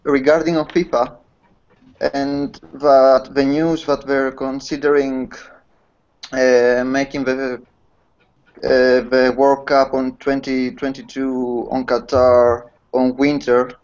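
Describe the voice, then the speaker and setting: Slow hesitant man from Greece. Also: mid-range voice, level tone, darkish tone. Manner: hesitant, many uhs and restarts. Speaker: student guest. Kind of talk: slow halting question. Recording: dull lo-fi video call audio.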